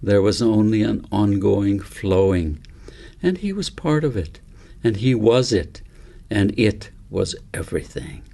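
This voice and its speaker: A man narrating an audiobook story in a gentle, measured, deliberate way. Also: on the low side, expressive, creaky. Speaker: author reading own book aloud.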